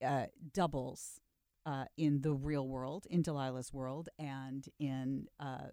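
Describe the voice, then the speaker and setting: A woman's voice: very deep voice, fairly even intonation, slow pace, mellow, no room sound, studio quality. A woman, an actress, chatting casually as an interview guest in a wry, emphatic way.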